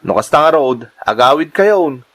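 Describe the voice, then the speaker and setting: A man's voice: fairly high pitch, lively intonation, moderate pace, fairly bright tone. A young man with an Ilocano accent playing a worried, dutiful son in a melodramatic drama, earnest and pleading. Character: worried, dutiful grown son urging mother home. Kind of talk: amateur melodramatic drama acting.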